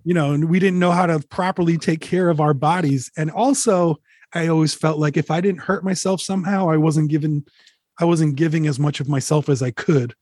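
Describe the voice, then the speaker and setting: A man in his forties, a touring musician, telling candid road stories in a relaxed, rambling way, swearing freely. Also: fairly high pitch, a little fast, dry recording, clean studio sound. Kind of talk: casual interview, candid storytelling.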